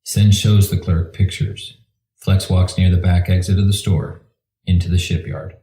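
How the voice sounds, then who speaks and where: Very deep male narrator in a roomy space. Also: little pitch movement, unhurried, mellow. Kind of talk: scripted narration reading scene directions. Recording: roomy echo.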